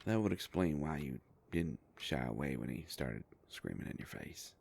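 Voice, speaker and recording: A man playing a gruff, protective werewolf private eye in an audio roleplay, with a deep gravelly voice and a folksy, halting, gently teasing way of talking. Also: animated, full character voice. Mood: concerned, gentle. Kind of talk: scripted roleplay audio drama, intimate.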